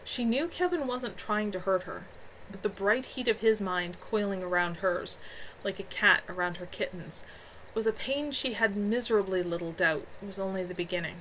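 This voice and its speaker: A woman's voice: medium pitch, normal speed, a bit bright, slight background noise, poor audio. A woman author reading her own novel aloud live from home, earnest, with a few small stumbles.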